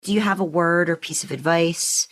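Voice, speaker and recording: A woman's voice: on the low side, normal speed. A woman hosting a podcast, talking casually with her guest in an interview. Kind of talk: casual chat.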